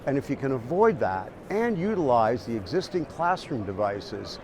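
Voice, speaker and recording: A man's voice: medium pitch, sing-song, normal speed, noisy background. A man giving explanatory interview answers as an industry expert, often repeating words as he goes.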